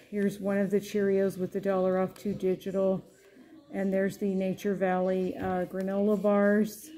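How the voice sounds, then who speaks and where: A woman's voice: medium pitch, warm tone. An American woman casually narrating a shopping haul, chatting through it item by item. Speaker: grocery haul vlogger. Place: indoors at a home dining table.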